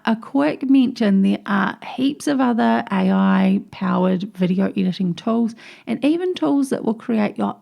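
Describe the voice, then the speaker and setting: A woman's voice: medium pitch, vocal fry, mellow. A woman with a New Zealand accent hosting her own podcast, explaining tips in an upbeat, chatty way. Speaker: podcast host. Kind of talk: solo podcast tips episode.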